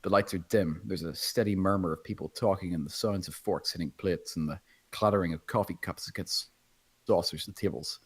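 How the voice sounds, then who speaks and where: A man with a husky voice reading screenplay stage directions as scripted narration. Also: low-pitched, fairly quick. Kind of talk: scripted narration at table read.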